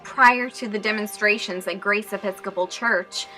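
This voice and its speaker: A young woman reporting the news, with stand-ups on location and voice-over narration in a clear, measured delivery. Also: mid-range voice, fairly even intonation, music in the background.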